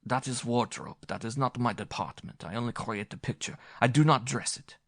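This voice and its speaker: A man's voice: mid-range voice, animated, moderate pace, gravelly, fairly bright tone, dry recording, studio quality, voice pushed up. Man doing a pompous film director. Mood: defensive. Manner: broken English, self-pitying then haughty. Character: pompous foreign male film director, sulky.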